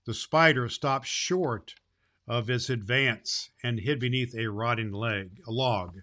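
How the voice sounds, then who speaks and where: A man reads a children's tale aloud as Santa Claus. His voice is bright and forceful at a middling pitch, and he leans into the words with dramatic emphasis. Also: slow. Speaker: children's story reader presenting as Santa Claus. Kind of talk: storybook read-aloud for children.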